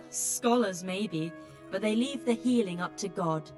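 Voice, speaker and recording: An actress playing a weary, blunt healer who tends refugees, with dry exasperation, in a dramatic video game. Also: female voice, mid-range voice, slow, over music, lightly acted. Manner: exasperated, dry, plain-spoken.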